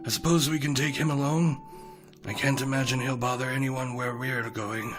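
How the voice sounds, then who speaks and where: A man's voice: mid-range voice, steady tone, moderate pace, lightly acted. A man plays an avuncular wizard in a storybook audio drama. His mid-range voice is formal, theatrical and reassuring, with kindly authority. Music plays behind him. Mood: wry, genial. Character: kindly, avuncular old-fashioned male wizard mentor.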